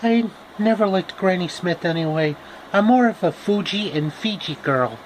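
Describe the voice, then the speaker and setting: A man's voice: high voice, lively intonation, moderate pace, slight background noise, voice pushed up, poor audio. A man voicing a cheerful, boastful young girl adventurer in a chatty, self-assured way for a story. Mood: sassy.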